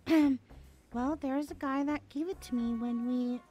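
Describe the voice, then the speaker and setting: A woman's voice: high-pitched, normal speed, dark tone. A woman voicing a nervous but defiant younger sibling in an audio roleplay, hesitant and flustered. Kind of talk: amateur audio roleplay acting.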